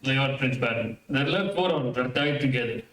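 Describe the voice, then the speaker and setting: A man's voice: mid-range voice, brisk, a bit bright, natural voice. A man playing a servile, obedient guard in an old radio serial. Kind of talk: 1930s radio serial acting. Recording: very echoey, distant microphone.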